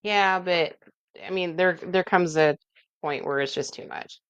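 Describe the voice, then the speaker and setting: A woman's voice: on the low side, measured pace, fairly bright tone, clean tone, no echo, lo-fi sound. A woman hosting a cooking show in a home kitchen, explaining steps in a folksy, chatty way as she works. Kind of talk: casual cooking demonstration.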